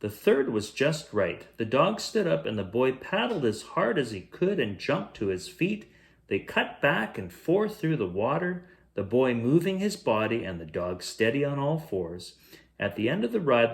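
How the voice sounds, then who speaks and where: Male storyteller for a children's picture book; medium pitch, animated delivery, measured phrasing with expressive emphasis. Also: vocal fry. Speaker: bedtime story reader, host.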